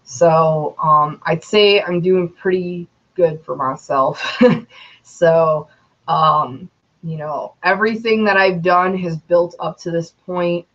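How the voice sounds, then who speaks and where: Twenty-something woman from chatting to camera, slow and rambly, muffled lo-fi audio. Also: on the low side, a bit bright. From Metro Detroit, Michigan, USA. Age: 20s. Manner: rambling, many ums and you knows. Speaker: YouTuber. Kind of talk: casual vlog talk. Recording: dull lo-fi sound.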